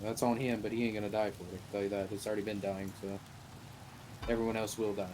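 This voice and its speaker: A man talks very quickly over noisy game voice chat. His delivery is blunt and bossy, loose and sweary, as he plays along in an online roleplay. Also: fairly low pitch, flat delivery. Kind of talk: casual gaming voice chat, half in character.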